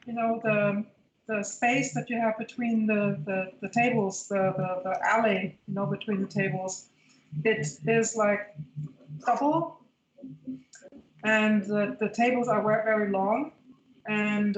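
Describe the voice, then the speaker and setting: A woman in her fifties chatting casually, often repeating words as she searches for the next one. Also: medium pitch. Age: 50s. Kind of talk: casual chat. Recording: echoey room with light background noise.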